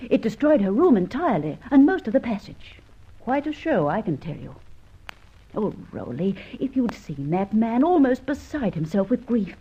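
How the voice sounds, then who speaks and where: On a dull old radio recording, a loyal young accomplice in a detective drama. Her voice is high and a little husky, with lively, earnest delivery. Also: normal speed. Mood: earnest, troubled.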